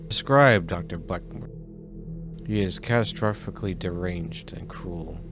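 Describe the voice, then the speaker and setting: A man acts a grave, formal storyteller in a horror drama. His low voice delivers scripted exposition emphatically over prominent music. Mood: formal, insistent. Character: formal, grave male informant recounting a madman's crimes. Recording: music playing behind the voice.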